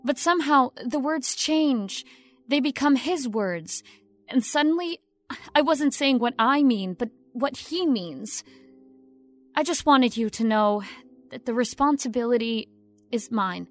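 A woman plays a young ambitious actress with a high voice. She speaks with earnest, overdramatic innocence over cool scheming, in radio play style, faint music underneath. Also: animated, unhurried. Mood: wounded. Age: young adult. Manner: earnest, self-dramatizing. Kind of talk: audio drama acting.

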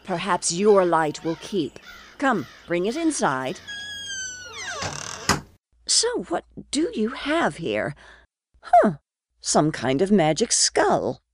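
A woman's voice: fairly low pitch, wildly swooping pitch, takes it slowly, long pauses, noisy background, exaggerated voice. A woman playing a falsely affectionate stepmother in a fairy-tale audio drama, syrupy and theatrical. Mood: coaxing, curious.

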